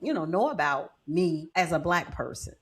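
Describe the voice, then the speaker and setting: A woman with a voice on the low side for her sex hosts a relaxed interview. She asks questions conversationally and keeps affirming her guest with short replies. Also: normal speed, husky. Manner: affirming listener, frequent "yeah" and "mm-hmm". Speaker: podcast host, social worker. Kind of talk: casual interview.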